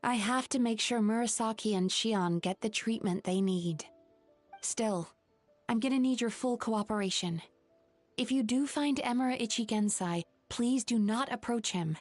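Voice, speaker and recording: A woman voicing a gentle, dutiful young therapist in a video game, speaking politely and in a measured, earnest way. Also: fairly high pitch, faint music behind, lightly acted. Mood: earnest concern. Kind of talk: animated video game voice acting.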